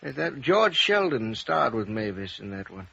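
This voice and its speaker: Old, hesitant gentleman of faded showbiz, raspy and rambling, muffled 1950s radio sound. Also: medium pitch, sing-song, measured pace, no room sound, full character voice. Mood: hesitant, reminiscing. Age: older. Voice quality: husky, creaky. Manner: hesitant, rambling, genteel, trailing off. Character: elderly, dithering former film director running a mission. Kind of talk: 1950s radio drama acting. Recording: dull vintage radio recording.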